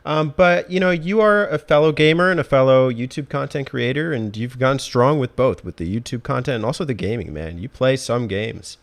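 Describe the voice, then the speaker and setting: A man's voice: medium pitch, moderate pace, few pauses. A man hosting a casual interview, informal and encouraging as he thinks his questions through aloud. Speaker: podcast host.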